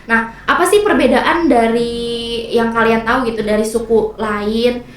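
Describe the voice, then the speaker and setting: Young woman host, higher voice, chatty questioner, roomy distant sound. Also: normal speed, a little hiss or hum. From Indonesia. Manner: chatty, asks questions, many fillers. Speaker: podcast host. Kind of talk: casual chat in a group. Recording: echoey room, mic far off.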